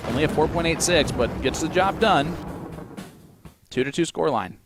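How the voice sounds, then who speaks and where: A man's voice: fairly high pitch, animated, normal speed, music in the background. A man with an Indiana accent calling a darts match play by play, joking and teasing his co-commentator. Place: commentary desk at a darts tournament.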